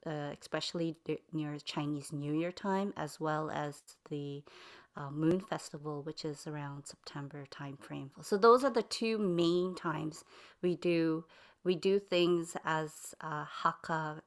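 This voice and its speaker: A middle-aged woman with a slightly low voice explains her family customs in an earnest, measured way. She pauses often on "um" and "uh" while speaking in an interview. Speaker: interviewee, mother. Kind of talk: casual interview talk.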